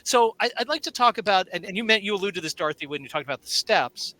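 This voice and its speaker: Bright-voiced male interview host. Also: sits high, animated, low-quality recording. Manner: measured, halting questions with fillers. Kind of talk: conversational interview.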